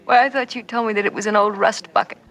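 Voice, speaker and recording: A woman's voice: mid-range voice, fairly even intonation, quick pace, a bit bright, natural voice, low-quality recording. An actress playing an earnest, candid younger married woman in a vintage television drama. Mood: candid, puzzled.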